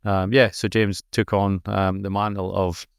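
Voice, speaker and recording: A man's voice: deep voice, flat delivery, unhurried, gravelly, clear, resonant tone, no room sound. Scottish guy telling a history story on a podcast, lots of ums, dry close sound. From Scotland. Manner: explanatory, hesitant with fillers. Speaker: podcast guest. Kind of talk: conversational storytelling. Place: conference exhibition booth.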